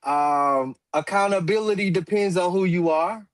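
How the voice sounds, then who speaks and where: Slow-talking man in his forties, dry studio sound. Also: high voice, no room sound. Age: 40s. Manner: measured, many fillers and restarts, clears throat. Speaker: talk show host. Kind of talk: roundtable discussion.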